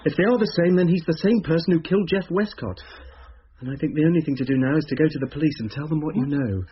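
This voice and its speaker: An actor with a British accent playing a concerned man in a radio drama, earnest and probing, with a husky voice. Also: on the high side, quick pace, lightly acted. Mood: urgent, insistent. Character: concerned man gently pressing a family for the truth. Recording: muffled old radio drama recording.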